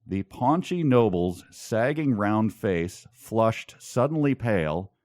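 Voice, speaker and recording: Man, lowish pitch, dry close recording, podcast co-host, casual book chat, deadpan mock-serious humor. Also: slow pace, no room sound. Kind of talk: casual book discussion with read-aloud passages.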